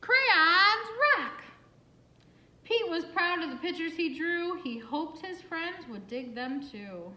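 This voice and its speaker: A woman reading a picture book aloud for children in an expressive, singsong way, with asides and questions to young listeners. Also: very high voice, slow. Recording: roomy, echoing room sound.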